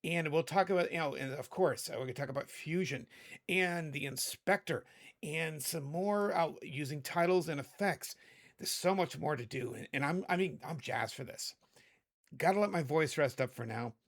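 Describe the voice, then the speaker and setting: A hoarse man in middle age walks viewers through a video edit in a loose, rambling way, often correcting himself mid-sentence. His voice sits a little high for a man. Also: normal speed. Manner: chatty, rambling, self-correcting. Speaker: video editing tutorial host. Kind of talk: casual screen-recorded tutorial.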